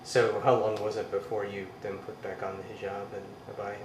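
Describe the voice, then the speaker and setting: A man's voice: on the low side, level tone, fairly quick. A man interviewing someone, asking brief, hesitant questions. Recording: roomy, distant mic, background noise.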